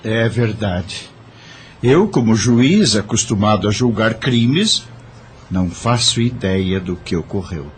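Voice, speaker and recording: A man playing a grave, puzzled judge in an audio drama, speaking in a deliberate, reflective way. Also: fairly low pitch, expressive, a little hiss or hum. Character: grave, puzzled judge among murdered spirits.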